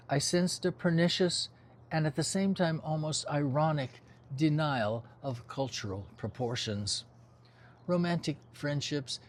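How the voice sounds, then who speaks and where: An older man from New Zealand who was raised in Los Angeles, reading his own literary memoir-style novel in a measured, reflective manner with brief informal asides. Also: a little high, a little hiss or hum. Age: over 60.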